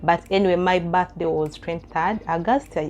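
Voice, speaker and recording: A woman's voice: lowish voice, fairly even intonation, normal speed, a little mellow. A woman in her thirties with a Ugandan accent, chatting casually as a vlogger and reading out viewer questions before answering them with asides and light laughs. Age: 30s. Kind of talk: casual Q&A chat to camera.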